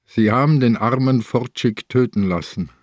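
An older actor in a radio crime drama playing an old, bedridden police inspector, grave and blunt. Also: male voice, medium pitch, moderate pace, no room sound, clean studio sound, lightly acted. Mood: grimly defiant.

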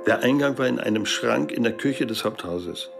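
An actor playing a resolute special-forces leader in a German radio drama, speaking in a terse, matter-of-fact way. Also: male voice, medium pitch, lively intonation, normal speed, creaky. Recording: music behind the voice.

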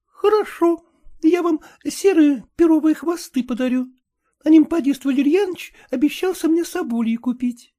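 A man's voice: very high-pitched, moderate pace, warm tone, pitched above the performer's own voice. A man doing a bossy, teasing young lady. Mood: teasing scolding. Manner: scolding, teasing.

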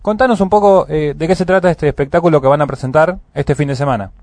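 A man's voice: a little high, quick pace, darkish tone, dry recording. A man with an Argentine accent hosting and interviewing on the radio in a conversational style. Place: radio studio.

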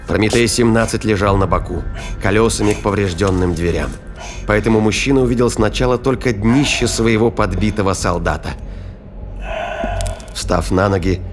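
A man with a fairly low voice narrates an audio drama. His delivery is measured and literary, with music underneath.

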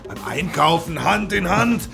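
An actor playing a needling, mock-concerned shop boss with quiet menace in a radio crime drama. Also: male voice, high-pitched, lively intonation, measured pace, gravelly, over music, heavily acted. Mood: sarcastic.

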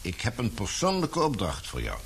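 An actor playing an authoritative older newspaper baron in a radio play, brisk, patronising and fatherly. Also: mid-range voice, wildly swooping pitch, fairly bright tone, slight background noise. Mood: businesslike. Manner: commanding, patronising. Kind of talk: Dutch radio play acting.

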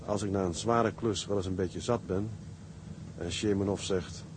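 Male actor as seaman writing to his sweetheart, lowish voice, measured, intimate, radio drama, noisy ship ambience. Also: fairly even intonation, close to an everyday voice. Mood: tender, reflective. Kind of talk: radio drama letter narration.

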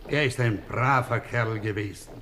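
An actor playing a solemn army officer speaking formal words of mourning in a radio drama. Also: male voice, medium pitch, normal speed, noisy background, natural voice.

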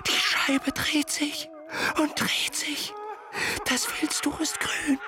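An actor playing a haunted, manipulative psychiatrist in a radio drama, speaking in theatrical, incantatory repetitions. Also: male voice, extremely high pitch, little pitch movement, slow. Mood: anguished frenzy. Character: unhinged, haunted male psychiatrist, manipulative and menacing.

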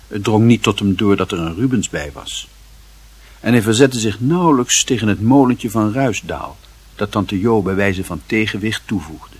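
A man narrating a literary radio play with measured, deliberate phrasing. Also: lowish voice, slightly rough. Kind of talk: scripted narration.

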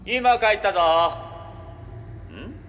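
A man playing a gruff, stern father in a radio drama. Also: high voice, huge pitch swings, takes it slowly, full character voice. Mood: stern, puzzled. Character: gruff father confronting a strange child. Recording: echoey hall reverb, faint noise.

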